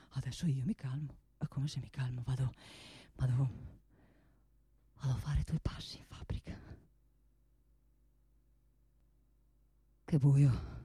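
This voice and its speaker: Radio theatre: blustering, restless male industrialist, soft dark tone, dry close sound, bossy and repetitive. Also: very deep voice, breathy edge, no echo, exaggerated voice. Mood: muttering, self-calming. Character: blustering wealthy male gun-factory boss, provincial, restless. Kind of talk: solo stage monologue for radio theatre.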